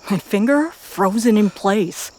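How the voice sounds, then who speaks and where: A woman reading a first-person story as a dramatic narrator, with intense pauses and hushed, breathy phrases. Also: medium pitch, animated, poor audio. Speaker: narrator of a fan fiction audio story.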